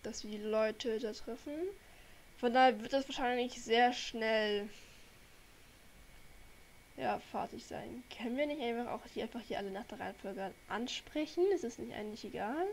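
A woman's voice: fairly high pitch, moderate pace, slight background noise. A young woman with a cold hosting her gaming channel, chatting casually and rambling to viewers as she plays. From Germany. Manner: chatty, rambling, talks to viewers while playing. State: has a cold. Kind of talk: casual gameplay commentary. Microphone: desk microphone.

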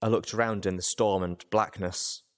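A man's voice: on the low side, steady tone, normal speed. A man reading a first-person story aloud as a dramatic audiobook narration.

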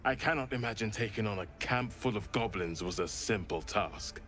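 An actor playing a devout, earnest druid man in a video game, speaking formally and deliberately. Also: on the low side, a bit bright, soft background music, natural voice. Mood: deeply grateful. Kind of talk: dramatic game dialogue.

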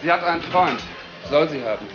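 Teasing male gossip actor, crime drama: fairly high voice, dull recording, music bed. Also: animated, brisk, natural voice. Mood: chatty. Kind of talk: German crime radio drama acting. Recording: dull lo-fi sound, music behind.

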